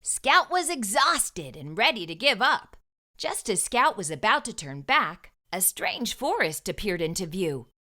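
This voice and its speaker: A woman reading a storybook aloud to young children, with expressive, emphatic delivery and deliberate phrasing. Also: mid-range voice.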